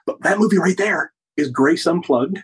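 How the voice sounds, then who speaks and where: Guy in his 50s showing folks around, really husky unclear voice, keen, stop and start, on a phone while walking. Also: a little high, lively intonation. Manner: enthusiastic, halting while walking and talking. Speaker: podcast host giving a studio tour. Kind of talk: casual chat, walk-through tour. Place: indoors, walking through studio offices and hallways. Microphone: phone held at arm's length on video call.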